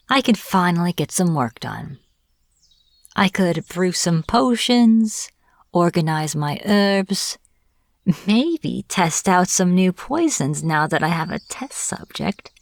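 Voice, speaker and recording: A woman playing a smug, teasing witch in an audio roleplay, taunting a captive in a playful, deliberate manner. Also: lowish voice, creaky, no echo, exaggerated voice. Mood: gloating, playful. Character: smug teasing young-sounding witch, captor of a hunter. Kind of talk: audio roleplay, acted monologue to the listener.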